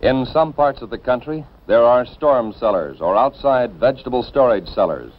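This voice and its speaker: An American man reading scripted 1950s instructional film narration in a measured, formal, instructive manner. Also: mid-range voice, animated. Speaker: narrator of a civil defense educational film. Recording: dull, band-limited 1950s film soundtrack.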